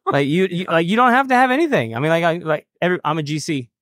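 A man's voice: on the high side, lively intonation, fast talker, a bit bright, no room sound. A man hosting a radio show with a casual, chatty manner, full of fillers and easy laughs, speaking close to a studio mic. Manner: laid-back, chatty, lots of fillers and laughs. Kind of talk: casual on-air chat. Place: studio with noise around. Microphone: close mic with headphones.

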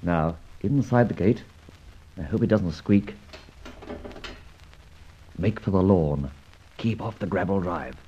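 An actor playing a suave, self-assured gentleman adventurer with an arch, taunting manner, in the style of a vintage radio serial. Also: sits low, slow, pauses often, a little hiss or hum. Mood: hushed, alert. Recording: muffled old radio recording.

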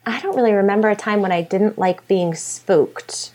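A woman's voice: medium pitch, normal speed, a little mellow. Giggly American woman chatting casually. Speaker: podcast guest, horror storyteller. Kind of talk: casual chat.

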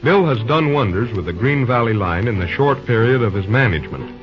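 A man's voice: mid-range voice, animated, brisk. Male serial announcer, dramatic rhetorical delivery over faint music, muffled vintage radio sound. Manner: declamatory, rhetorical. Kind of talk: scripted dramatic old-time radio narration. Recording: dull old radio recording.

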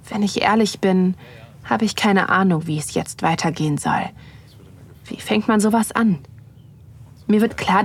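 Nervous curious wife, slow intimate narration, soft whispers, creaky edges. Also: female voice, medium pitch. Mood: nervous, expectant. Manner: soft, breathy, often whispering. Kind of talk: intimate erotic audio drama narration.

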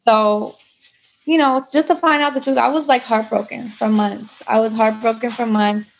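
A woman's voice: fairly high pitch, steady tone, moderate pace, vocal fry, low-quality recording. A woman telling her own story in a casual interview, chatty, full of likes and you knows. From United States. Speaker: guest.

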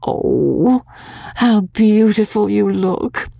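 A woman's voice: medium pitch, lively intonation, fast, creaky, warm tone, husky, dry recording, studio quality, pitched above the performer's own voice, exaggerated voice. A woman voicing a spoiled, dramatic young actress daughter with breathless, childlike pleading, in a storybook reading. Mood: admiring.

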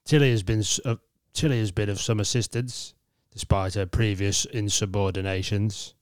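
Alcor, a gruff impatient man, as a woman puts him on. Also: extremely low pitch, fairly even intonation, moderate pace, darkish tone, dry recording, studio quality, pitched below the performer's own voice. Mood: brisk. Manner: curt, barking orders. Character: gruff commanding male trainer, impatient.

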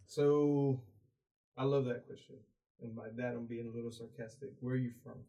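A man's voice: medium pitch, fairly even intonation, moderate pace, mellow, rough recording. A young man raised in Mexico, a podcast guest chatting casually, rambling with many fillers and restarts. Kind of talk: casual interview chat.

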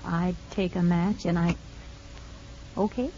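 An actress playing a kindly parole officer in a 1950s radio drama, speaking in a calm, measured, reassuring way. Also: female voice, on the low side. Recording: dull 1950s radio recording.

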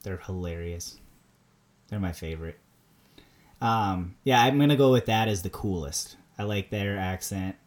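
Minnesota guy on a podcast, lowish voice, chill and chatty, laughs a lot. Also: unhurried. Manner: easygoing, laughs often, repeats words when starting sentences. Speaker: podcast co-host. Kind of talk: casual chat.

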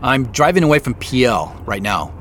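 Company boss on a mysterious quest, medium pitch, expressive swings, naturalistic radio drama read. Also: male voice, normal speed, slight background noise. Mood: intrigued. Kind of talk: naturalistic audio drama dialogue and narration.

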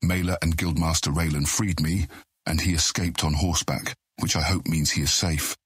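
A man playing a grave, authoritative guild deputy in an audiobook drama, with a deep, husky voice and measured, formal speech. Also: fairly even intonation, no echo, studio quality.

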